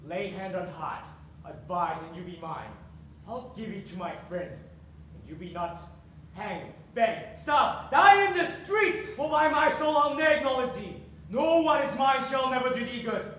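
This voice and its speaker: A man's voice: extremely high pitch, lively intonation, a little slow, few pauses, fairly bright tone, heavily acted. A man playing an enraged, domineering middle-aged nobleman father in declamatory Shakespearean stage style. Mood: menacing, scornful. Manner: declamatory, bullying, contemptuous. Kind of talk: theatrical Shakespearean stage acting. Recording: echoey distant stage recording, faint noise.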